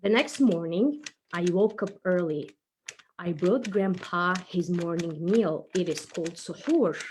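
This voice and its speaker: A woman's voice: lowish voice, unhurried, a little mellow, slight background noise, lightly acted, low-quality recording. A woman voicing an eager, helpful little boy puppet in an earnest, childlike way.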